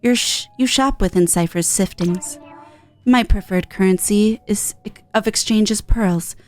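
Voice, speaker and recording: Woman putting on odd, low-pitched shopkeeper creature Cipher. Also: a little slow, darkish tone, lightly acted. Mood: courteous. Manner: stilted, formal.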